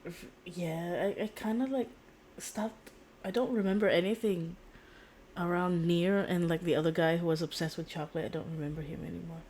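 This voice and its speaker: A woman's voice: on the low side, fairly even intonation, normal speed, darkish tone. A woman game streamer chatting casually in a rambling, slangy, blunt way, often repeating her words. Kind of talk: casual live-stream chat. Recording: faint background noise, game audio.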